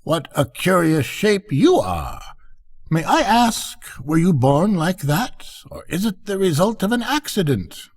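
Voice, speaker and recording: A man's voice: high-pitched, big pitch swings, a little slow, voice pushed up, heavily acted. Storybook duck, played by a man: mild, peaceable lady, raised lilting voice, primly curious. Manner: mild, prim, questioning. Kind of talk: storybook character reading.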